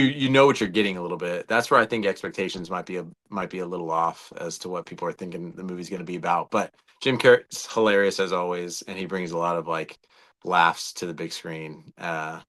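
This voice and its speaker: A man co-hosting a casual fan talk show, informal and a little halting as he thinks out loud. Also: low voice. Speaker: co-host of a video game fan show. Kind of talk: casual conversational film discussion.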